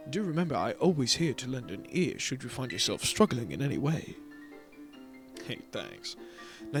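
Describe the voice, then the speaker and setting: A man's voice: medium pitch, natural voice. A man reading aloud the lines of a courteous, formal male academy director in a video game. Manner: courteous and measured.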